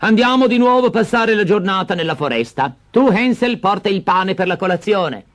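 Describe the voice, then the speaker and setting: A man voicing a cruel, bossy stepmother in a storybook reading, with a sharp, scolding, impatient delivery. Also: high-pitched, expressive, moderate pace, pitched above the performer's own voice.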